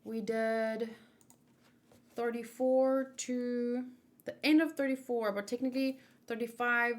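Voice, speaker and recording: Slow, halting woman chatting on a livestream. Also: fairly high pitch, steady tone. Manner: rambling, easily distracted, halting. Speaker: livestream host doing manga read-alongs. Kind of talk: casual livestream chat.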